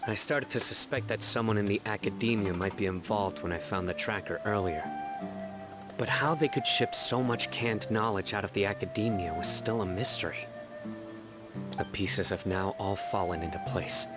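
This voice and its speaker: An actor playing a stern, composed young investigator in a video game, measured and serious. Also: male voice, on the low side, natural voice. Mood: pondering. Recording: game music behind.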